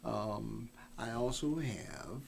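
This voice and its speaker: A man's voice: medium pitch, drawn-out words, creaky, a little hiss or hum. A man with a Detroit accent, a singer and songwriter chatting as an easygoing, upbeat interview guest. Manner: easygoing and upbeat, laughs often. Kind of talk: casual interview chat.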